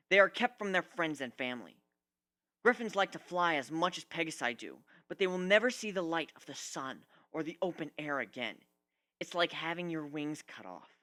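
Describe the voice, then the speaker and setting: A man's voice: a little high, pushed and bright, pitched above the performer's own voice. A man voicing a proud, embittered young griffin warrior in a story reading, blunt and emphatic.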